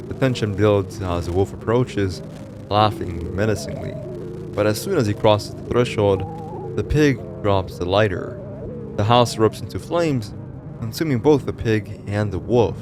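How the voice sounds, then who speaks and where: Deep-ish guy doing scripted horror explainer narration, ominous and dramatic, music clearly going behind him.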